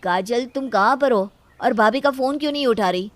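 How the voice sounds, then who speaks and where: A woman's voice: a little high, brisk, background noise, lightly acted. A woman voicing a sensible, exasperated husband and younger brother-in-law, reasoning and reproachful, in a story.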